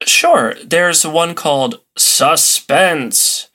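A man's voice: a little high, expressive, very slow, pushed and bright. A man talking as a podcast guest and enthusiast, explaining and telling stories in casual chat. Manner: conversational storytelling. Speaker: podcast guest, old-time radio enthusiast.

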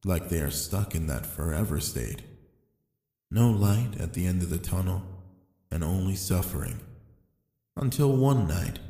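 Long, echoing reverb surrounds a deep male voice. It is a tempting fallen angel played by a man in an ASMR roleplay, calm and sly. He speaks slowly and intimately, as if to one listener. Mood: wistful, confiding. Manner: slow, deliberate, addressing the listener intimately.

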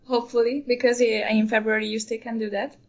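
A woman in her twenties, a guest in a casual interview, speaking hesitant non-native English with many pauses and fillers. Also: on the high side, level tone, creaky. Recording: dull lo-fi recording.

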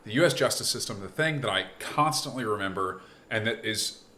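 Casual host with a low bright voice. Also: male voice, expressive, a little hiss or hum. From United States. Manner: casual, swears, quick backchannels. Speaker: podcast host. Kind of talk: casual chat.

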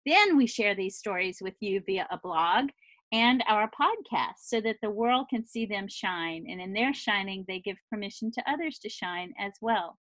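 A woman's voice: mid-range voice, lively intonation, normal speed, fairly bright tone, dry recording. A middle-aged woman hosting a podcast interview, effusive and enthusiastic. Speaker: podcast host. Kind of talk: conversational interview.

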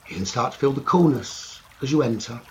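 Man, mid-pitched voice, guided meditation, slow soothing delivery, short phrases, long pauses, faint trickling stream behind. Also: animated, a little mellow. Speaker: meditation teacher. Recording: running stream water noise behind voice. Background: trickling stream water ambience.

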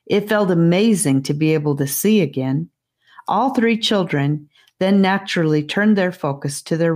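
A woman reading a fantasy story as an audiobook narrator, in a measured storybook manner. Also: low voice, a little mellow.

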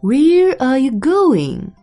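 A woman voicing a hungry, wicked male wolf in a storybook reading, with slow, drawn-out, sly lines. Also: sits high, big pitch swings, warm tone, soft background music, voice pushed up, heavily acted.

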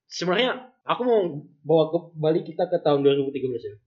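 Young man, somewhat high pitch, brisk pace, casual podcast chat, dull audio. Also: animated. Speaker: podcast panelist.

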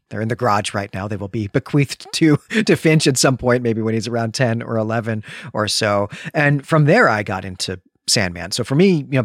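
A man's voice: on the low side, a bit bright, no room sound, studio quality. A man co-hosting a podcast in casual conversation, with a wry, lightly teasing way of talking. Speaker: podcast co-host.

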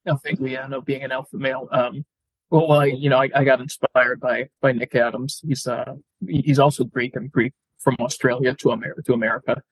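A man's voice: medium pitch, little pitch movement, fairly quick, husky, no echo, poor audio. A man speaking casually as a podcast guest, stammering and often repeating words as he goes. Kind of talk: casual interview talk. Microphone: video call.

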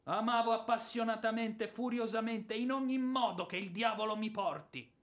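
An actor playing a boorish, hot-tempered ex-officer creditor in a radio drama, sarcastic and theatrical, moving between muttering and bluster. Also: male voice, sits high, normal speed, lightly acted. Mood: ranting, theatrical. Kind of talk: radio drama stage acting.

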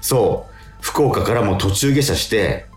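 A man with a very low, swooping voice hosts a casual radio talk. He tells his stories excitably in loose, everyday speech. The room rings around him, with music underneath. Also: a little slow, roomy sound. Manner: animated, excitable, colloquial, repeating affirmations. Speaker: radio show DJ and stage actor. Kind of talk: casual radio chat, storytelling.

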